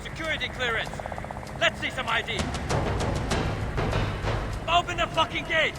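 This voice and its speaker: An aggressive male guard, barking curt orders in a very high, strained pitch. It is game cutscene acting over loud music. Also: unhurried. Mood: commanding. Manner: shouted, curt commands.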